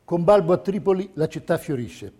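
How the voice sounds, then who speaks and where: A man delivering scripted theatrical narration in measured, deliberate phrases. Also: fairly high pitch, lively intonation, dark tone, breathy edge. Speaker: stage narrator.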